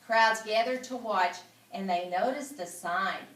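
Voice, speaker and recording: Echoey, expressive woman reading a storybook aloud. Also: mid-range voice, measured pace. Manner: expressive, dramatic, repeats phrases. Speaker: school principal reading aloud. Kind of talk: animated storybook read-aloud with asides. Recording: echoey room, somewhat distant mic.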